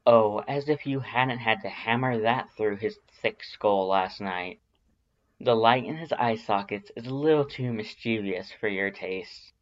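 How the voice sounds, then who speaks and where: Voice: mid-range voice, a little slow, muffled recording. A narrator reading a story aloud in a scripted audiobook style.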